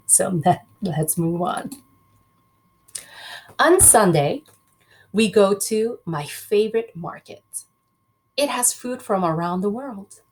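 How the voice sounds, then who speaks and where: A woman's voice: mid-range voice, expressive, slow, pauses often, a little mellow, breathy edge, poor audio. A woman teacher reading a children's book aloud, expressively, breaking off to question her pupils directly.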